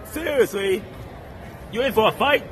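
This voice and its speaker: A man's voice: sits high, animated, a little slow. A man confronting someone in an agitated, challenging way at a busy public venue. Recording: noisy crowd and music, outdoor clip.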